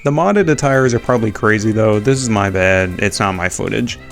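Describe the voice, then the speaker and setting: A man's voice: lowish voice, moderate pace, gravelly, soft background music. A man giving casual, sweary, opinionated fan commentary as the narrator of a retrospective video.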